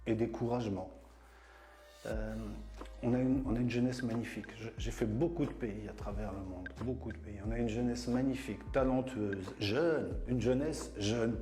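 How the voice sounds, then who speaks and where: A man's voice: lowish voice, mellow. A middle-aged man born and raised in France who leads a community association, talking in an interview monologue and repeating words for emphasis. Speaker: association leader. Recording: echoey room with music.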